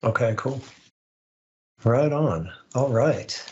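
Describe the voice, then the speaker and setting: A man's voice: mid-range voice, lively intonation, slow pace. Lo-fi male host, informal delivery. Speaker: community call host. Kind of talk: casual online presentation of tech news. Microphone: online call microphone. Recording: slightly dull, lo-fi online call audio.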